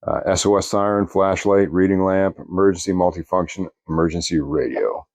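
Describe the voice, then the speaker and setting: A man giving an unscripted product review in a plainspoken, measured, explanatory way. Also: deep voice, steady tone, darkish tone, no echo.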